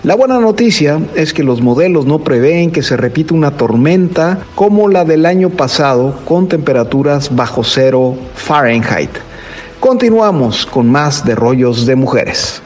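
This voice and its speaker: A man with a slightly high-pitched voice reads a scripted weather forecast in an announcer's role. Faint music plays behind him. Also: expressive, a little slow, a little mellow.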